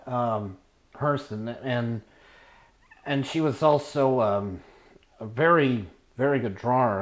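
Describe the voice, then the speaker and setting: A man telling a rambling personal story casually, halting as he searches for words and trails off. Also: mid-range voice. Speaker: storyteller, show host.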